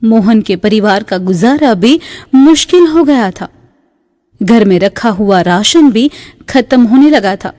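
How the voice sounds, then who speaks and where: Slow, bright woman storyteller with reverb. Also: on the high side, animated. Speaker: story narrator. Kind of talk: slow scripted moral-story narration for a cartoon. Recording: long reverb.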